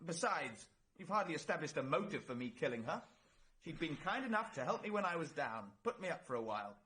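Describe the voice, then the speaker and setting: An actor playing an anxious young murder suspect, flustered and defensive, in a vintage radio drama. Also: male voice, on the high side, very animated, fairly quick, sharp tone. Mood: indignant. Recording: noisy vintage radio recording.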